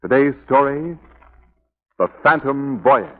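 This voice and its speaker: A male announcer delivers formal, dramatic narration in 1940s radio style. His mid-pitched voice rises and falls a lot. The recording is old and narrow. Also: expressive, slow pace, loud music behind the voice.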